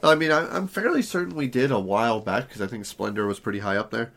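A man co-hosting a casual podcast, his voice hoarse and raspy from allergies, chatting in a rambling, self-deprecating way. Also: lively intonation, fast, a bit bright. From Southern California. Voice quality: naturally deep voice, raspy today. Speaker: podcast co-host.

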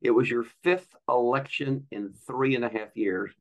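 A man's voice: mid-range voice, moderate pace, muffled recording. A man hosting a podcast as a pastor, introducing and questioning a guest in conversation. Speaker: podcast host. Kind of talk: conversational interviewing.

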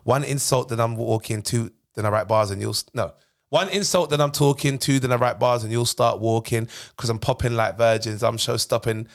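A man's voice: medium pitch, fairly even intonation, fairly quick, gravelly. A man with a British accent bantering casually in slang as a podcast host, insistent and repetitive, at times breaking into rap. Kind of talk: casual banter and freestyle rapping.

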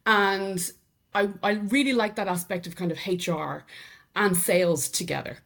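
A middle-aged woman with an Irish accent, a podcast guest telling her story in relaxed conversation, laughing easily. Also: medium pitch, moderate pace. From Ireland. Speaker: podcast guest, sales enablement executive. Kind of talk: conversational interview.

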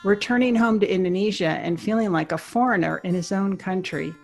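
A woman's voice: mid-range voice, fairly quick, creaky, darkish tone, soft background music. A woman hosting an interview podcast, moving between scripted introduction and conversational questions.

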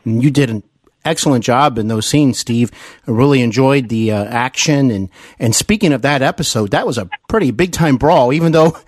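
A man's voice: medium pitch, normal speed, dry recording, clean studio sound. A man hosting a podcast interview conversationally, with an admiring, attentive manner.

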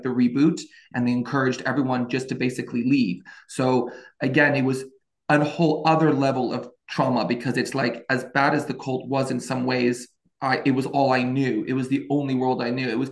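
A man's voice: medium pitch, creaky. A man in his twenties from France, a podcast guest telling his life story in a casual, hesitant way with many fillers and restarts. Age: 20s.

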